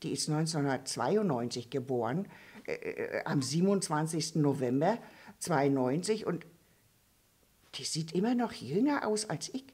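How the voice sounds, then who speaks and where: A woman's voice: sits low, expressive, moderate pace, darkish tone, husky, voice pushed down. A woman voicing a gossipy old female puppet who rambles, stammers and restarts her sentences. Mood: rambling. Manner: stammering, full of fillers and restarts. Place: dark theatre stage.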